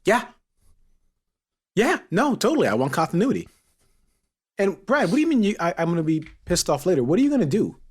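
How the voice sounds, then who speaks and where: A man co-hosting a podcast, chatting casually in an animated, questioning way. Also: high voice, brisk, long pauses, breathy edge. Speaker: podcast co-host. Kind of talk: casual chat about a TV series.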